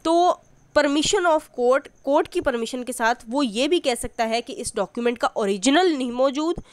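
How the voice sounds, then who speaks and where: A woman's voice: sits high, expressive, moderate pace, clean tone, no echo. A woman with a Pakistani accent lectures like a law teacher, reading out passages and then explaining them, rephrasing and repeating her points.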